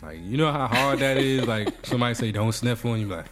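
A man's voice: medium pitch, quick pace, faint music behind. Sick, nasal man hosting a podcast. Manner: animated, joking banter. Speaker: podcast host. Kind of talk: casual chat.

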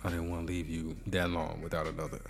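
A man's voice: low voice, completely flat delivery, quick pace, creaky, soft background music. A man with a cold and a nasal voice hosting a casual podcast, joking and bantering. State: sick, nasal. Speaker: podcast host. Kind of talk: casual chat.

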